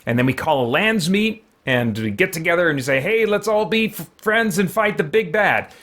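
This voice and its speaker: A man's voice: high-pitched, animated, moderate pace, fairly bright tone. Casual, rambling man live streaming a game. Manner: rambling, informal, thinking aloud. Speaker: live streamer, gaming channel host.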